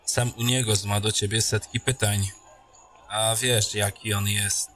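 A man's voice: fairly low pitch, little pitch movement, measured pace, fairly bright tone. A man voicing a jokey captain greeting an old friend in a fantasy audio drama, teasing and friendly.

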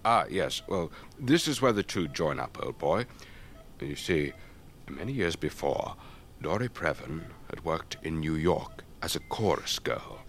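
An actor playing an elderly English retired air force officer, urbane and measured, telling tales in a radio drama. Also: male voice, rumbling low, big pitch swings, vocal fry, slight background noise, exaggerated voice. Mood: wry, storytelling. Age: older. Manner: measured, old-fashioned gentlemanly, theatrical raconteur.

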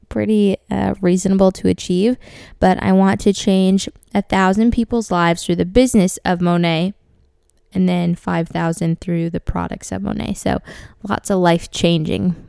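Raspy young woman podcaster, chatty. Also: on the low side, warm tone, no room sound, studio quality. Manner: chatty, laughs often, frequent ums. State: dry, raspy voice. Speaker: podcast host. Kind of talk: casual faith-based podcast monologue.

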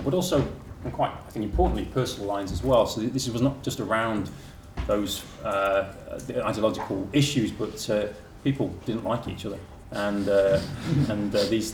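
A man giving an academic conference talk, halting with many fillers and restarts, in a lecture room. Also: on the low side, a little mellow. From England. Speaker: academic speaker. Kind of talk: conference presentation. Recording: echoey room from far off with faint noise.

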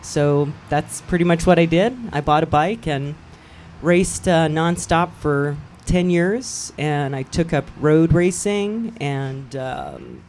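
A woman's voice: low voice, expressive, slow pace, darkish tone, a little hiss or hum. A woman from Hawaii, a guest on a local radio show, telling her story in a chatty way and laughing easily. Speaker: guest, cycling event promoter. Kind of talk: casual radio chat.

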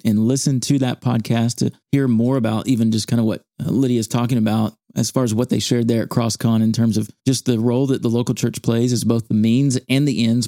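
A man hosting a studio podcast interview, measured and earnest, with church phrasing. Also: mid-range voice, mellow, no echo. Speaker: podcast host, campus ministry staff.

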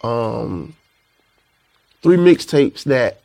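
A man's voice: big pitch swings, extremely slow, creaky, warm tone. A man, a rapper guest speaking in a laid-back, reflective way. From Panama City, Florida. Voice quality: high-pitched voice. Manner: laid-back, reflective, repeats "you know what I'm saying". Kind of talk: casual interview chat.

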